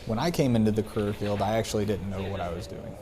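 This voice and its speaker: A man who works as an air traffic controller, answering interview questions about his job in an earnest, measured way. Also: lowish voice, crowd noise. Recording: faint background noise.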